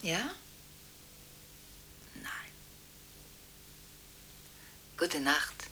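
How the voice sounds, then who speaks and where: An actress playing a restless, contradictory woman on the phone late at night in a radio drama, halting and anxious, shifting between hushed and insistent. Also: low voice, big pitch swings, slight background noise, full character voice. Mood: quiet, probing. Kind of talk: radio monodrama acting, one side of phone conversations.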